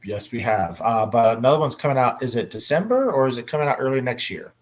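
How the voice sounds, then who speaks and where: A man's voice: medium pitch, animated, quick pace. Conversational man moderating a fan panel. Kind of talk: casual panel chat.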